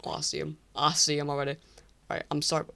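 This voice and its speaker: Teenage boy, croaky tired voice, casual rambling commentary, apologetic, many restarts, desk mic. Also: on the high side, monotone, fast talker, bright, forceful tone. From England. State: croaky, late at night. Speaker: YouTuber. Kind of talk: casual tier list commentary.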